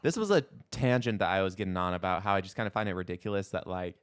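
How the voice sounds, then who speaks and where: A man with a somewhat deep voice gives his opinions as a podcast co-host. His delivery is informal and halting, thinking aloud as he goes. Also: lively intonation, smooth. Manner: halting, conversational, peppered with "like". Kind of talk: casual opinionated chat.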